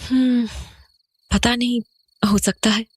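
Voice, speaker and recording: A woman's voice: fairly high pitch, steady tone, takes it slowly, slightly rough, no room sound. An actress playing an uneasy, questioning mother and wife in an audio horror drama, mixing some English into her talk. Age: adult. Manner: questioning, conversational, Hindi mixed with English.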